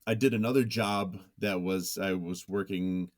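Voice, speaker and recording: Deep-voiced, hesitant man in interview. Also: steady tone, measured pace. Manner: hesitant, self-effacing, thoughtful. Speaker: interview guest, nonfiction writer. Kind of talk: reflective interview conversation.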